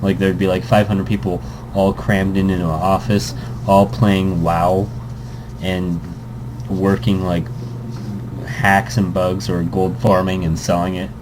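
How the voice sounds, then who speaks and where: A man's voice: low-pitched, level tone, measured pace, background noise. A young man hosting a let's play, reading a visual novel aloud with joking asides and fillers.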